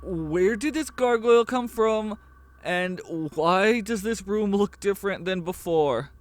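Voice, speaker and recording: A man's voice: high-pitched, measured pace, pitched above the performer's own voice. A man voicing a jumpy, frightened young man in an audio horror drama, with a panicky, exclaiming manner. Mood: alarmed, bewildered.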